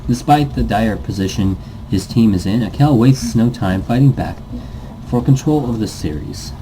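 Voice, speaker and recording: Dark-voiced man narrating, very lively pitch. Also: normal speed, a little hiss or hum, rough recording. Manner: stumbles and rereads phrases. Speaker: reader, host of a let's read series. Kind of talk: dramatic read-aloud narration of visual novel.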